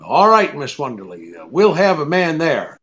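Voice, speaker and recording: An actor playing a cool, brisk, sardonic private detective in an old-time radio drama, with a clipped, take-charge manner. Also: male voice, on the high side, wildly swooping pitch, a bit bright. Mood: businesslike. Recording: dull lo-fi radio-play recording.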